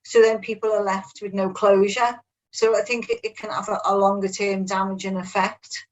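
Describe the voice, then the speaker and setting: A woman's voice: medium pitch, flat delivery, normal speed, poor audio. A middle-aged woman sharing reflections in an online group discussion in an earnest, plain-spoken way. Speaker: manager taking part in a webinar. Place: online video call.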